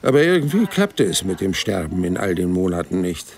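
An actor playing a wry, stubborn hundred-year-old man who narrates his life in a dry, deadpan way in an audio drama. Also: sits low, a little fast. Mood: weary, exasperated. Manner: dry, deadpan, matter-of-fact storytelling.

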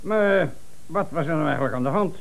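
Casting: self-satisfied buyer, gravelly and slightly high, emphatic, 1970s radio comedy. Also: male voice, animated, quick pace. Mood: pleased. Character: blunt, self-satisfied American shoe buyer. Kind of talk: comic radio play acting. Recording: 1970s radio play recording.

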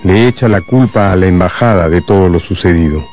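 A man narrating a scripted radio drama in a measured, dramatic storytelling manner. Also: low-pitched, darkish tone, muffled recording, faint music behind.

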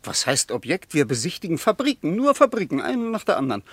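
An actor playing a frustrated, sardonic West German traveller who argues in clipped phrases in a radio drama. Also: male voice, on the high side, wildly swooping pitch, fast, husky, no room sound, exaggerated voice. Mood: indignant, sardonic.

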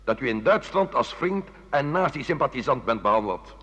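Stern formal accuser, medium pitch, lively intonation, reading charges, radio play, dull old recording. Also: male voice, normal speed. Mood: formal, accusing.

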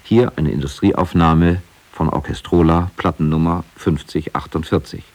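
A man narrates a scripted radio documentary in a low voice with a husky grain. His delivery is measured and factual. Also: steady tone, darkish tone.

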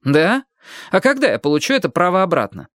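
Woman voicing a sulky teenage boy, lively intonation. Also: fairly low pitch, brisk, no echo, studio quality, voice pushed up. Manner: grumbling, sarcastic. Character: sulky, jealous, grumbling teenage boy, sarcastic. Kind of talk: audiobook character voice.

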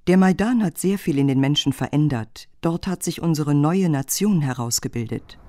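A woman giving a calm voice-over of interview testimony. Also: sits low, moderate pace, no echo. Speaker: voice-over translator.

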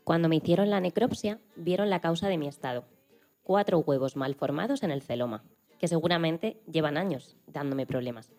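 A woman's voice: deep voice, expressive, a little fast, a little mellow. A woman voicing a domestic bird telling her own story in a scripted first-person reading. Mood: matter-of-fact.

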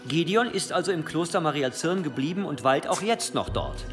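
A stern cathedral provost interrogates in a great echoing hall with music underneath. He is formal and sarcastically polite, cunning and insistent, with a very animated delivery. It is historical radio drama. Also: a little high, a little fast. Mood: probing, mocking. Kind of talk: historical radio play acting. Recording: long hall reverb, loud music underneath.

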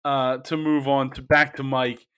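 Bright-voiced man hosting a betting show. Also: medium pitch, flat delivery, unhurried, clean tone. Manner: upbeat, stammering restarts, laughs often. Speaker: host of a sports betting show. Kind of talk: casual panel chat.

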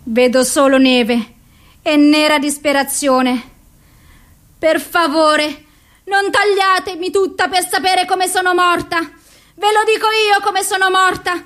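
An actress playing a tormented, despairing woman in a poetic radio drama monologue, speaking in deliberate, intense phrases broken by pauses. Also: extremely high pitch, fairly even intonation, a bit bright, full character voice. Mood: bleak, desolate, then pleading, fragile. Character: tormented suicidal woman, broken doll telling her despair.

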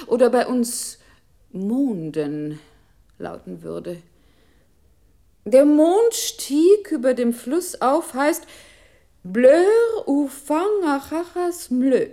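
A woman playing a methodical computer voice that recites in a measured literary radio style. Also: high voice, dark tone, heavily acted.

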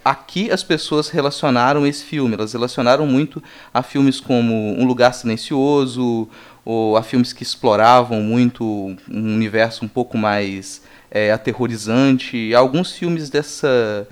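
Man, Brazilian accent, medium pitch, thoughtful, articulate, long explanations, podcast panel talk. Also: measured pace, clean tone. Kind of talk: casual discussion.

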